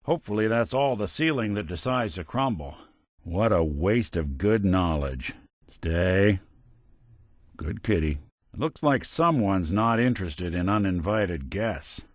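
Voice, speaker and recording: A man's voice: low voice, lively intonation, measured pace, no echo. A man playing a world-weary, middle-aged android detective in a video game, with an American accent and a wry, hard-boiled way of talking. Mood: dry, sardonic. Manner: wry, dry, old-fashioned hard-boiled slang. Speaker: companion character in a video game.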